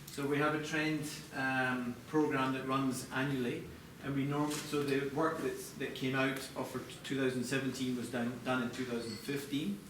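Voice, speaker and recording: A man's voice: medium pitch, level tone, moderate pace, hardly any pauses. Man presenting in a very echoey room. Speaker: chief designer presenting products. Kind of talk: product design presentation to a live audience. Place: large echoey indoor presentation space. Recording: echoey room, distant mic, background noise.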